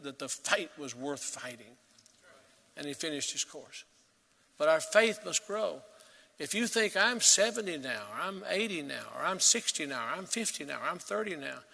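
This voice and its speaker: A man preaching a sermon as pastor, earnest and emphatic, swelling to loud peaks and dropping to quiet. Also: on the high side, creaky, in a large hall.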